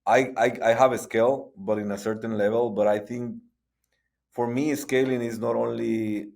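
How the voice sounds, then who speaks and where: Halting man. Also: medium pitch, steady tone, gravelly, a little mellow. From Guatemala. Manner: halting, frequent restarts and repetitions. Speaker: guest, sports entrepreneur. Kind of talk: conversational interview.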